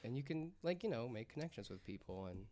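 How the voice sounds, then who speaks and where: Man, medium pitch, casual podcast chat, loose informal phrasing. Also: big pitch swings, fast, warm tone. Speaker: podcast panelist.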